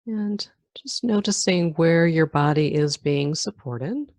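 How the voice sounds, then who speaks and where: A woman guiding a meditation as a teacher, giving calm, gentle instructions with long pauses between phrases. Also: low voice, gravelly, dry recording, clean studio sound. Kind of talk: guided meditation.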